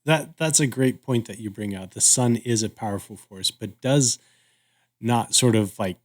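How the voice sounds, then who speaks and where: A man co-hosting a podcast, discussing casually in an agreeable, thoughtful and somewhat halting way. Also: medium pitch. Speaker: podcast co-host.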